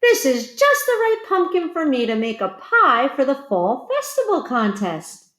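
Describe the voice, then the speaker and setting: A woman's voice: high voice, big pitch swings, a little slow, fairly bright tone, roomy sound, voice pushed up, exaggerated voice. A woman acting out an eager, relieved farmer's wife in a children's storybook, exclaiming.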